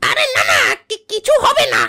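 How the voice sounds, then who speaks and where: A woman voicing an eager, fawning young fox sidekick in a cartoon who stammers and shouts when excited. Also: normal speed, very noisy, close to an everyday voice. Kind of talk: cartoon voice acting. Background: background music.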